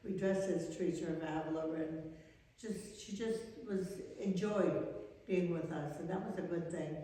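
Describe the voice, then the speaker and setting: An elderly woman in her eighties with a Maine accent, a religious sister reminiscing in an interview in a halting, rambling way. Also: fairly low pitch, warm tone. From Maine, United States. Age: 80s. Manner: halting, rambling recollection with trailing sentences. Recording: echoey reverberant room, distant mic.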